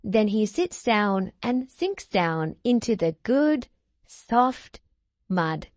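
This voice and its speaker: A woman reading a children's storybook aloud, deliberately, with drawn-out emphasis on key words. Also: mid-range voice, sing-song, a little mellow, dry recording. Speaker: storybook reader. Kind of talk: expressive children's read-aloud narration.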